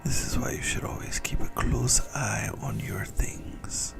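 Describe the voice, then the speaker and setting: A man voicing a possessive, centuries-old vampire lover in a whispered ASMR roleplay, smugly menacing and intimate, with a breathy, gravelly delivery. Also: lowish voice, fairly even intonation, unhurried, over music.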